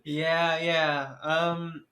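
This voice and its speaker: A man's voice: a little high, little pitch movement, very slow, noisy background. A man hosting a casual film podcast, talking with many false starts and restarts. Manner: hesitant, many restarts, laughs easily. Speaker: podcast host.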